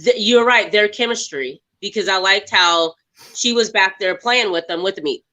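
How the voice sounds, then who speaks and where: A woman guest on a movie podcast, retelling scenes with animated laughter. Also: medium pitch, normal speed, low-quality recording. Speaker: guest actress. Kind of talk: casual movie review chat.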